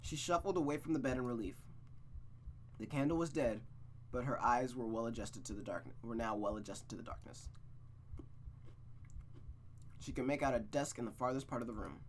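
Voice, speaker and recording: Expressive man reading aloud over background noise. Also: mid-range voice, fairly quick, low-quality recording. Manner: expressive, reactive, sweary, stumbles and rereads lines. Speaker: streamer reading a visual novel aloud. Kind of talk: dramatic story reading with casual asides.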